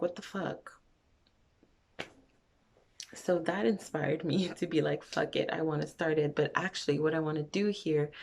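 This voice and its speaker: A woman's voice: low voice, darkish tone. A young woman from Montreal chatting frankly in a get-to-know-me vlog, wandering off on tangents. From Montreal, Canada. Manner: rambling, frank, goes off on tangents. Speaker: vlogger. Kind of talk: casual get-to-know-me chat.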